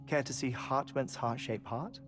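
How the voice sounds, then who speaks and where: An actor playing a courteous, obsessive middle-aged scientist in grief, articulate and wry, in a video game cutscene. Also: male voice, mid-range voice, lively intonation, moderate pace, music in the background, natural voice. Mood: wistful, intense.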